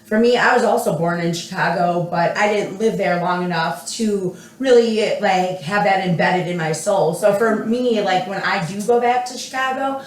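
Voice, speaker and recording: An American woman talks casually as a panel guest, hesitant and reflective. Her voice is average in pitch. She is a little distant from the mic, with plenty of room echo. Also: moderate pace, hardly any pauses. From United States. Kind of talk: casual chat.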